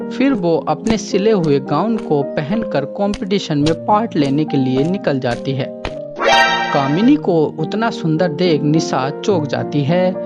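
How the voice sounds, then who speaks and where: A woman narrating a children's moral story in a calm, measured way. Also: low voice, dull audio. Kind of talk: scripted narration.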